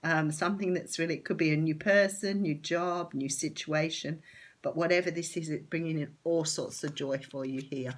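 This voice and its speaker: A woman's voice: low-pitched, fairly even intonation, normal speed. A woman with an Australian accent, nasal and sore-throated from a cold, chatting casually and enthusiastically through a tarot reading. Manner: chatty, enthusiastic, many ums. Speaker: tarot reader.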